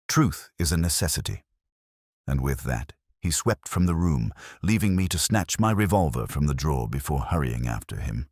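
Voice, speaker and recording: A man narrating a Victorian detective story in a measured, literary and theatrical manner. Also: extremely low pitch, breathy edge, no echo, studio quality. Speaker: audiobook narrator playing Dr. Watson.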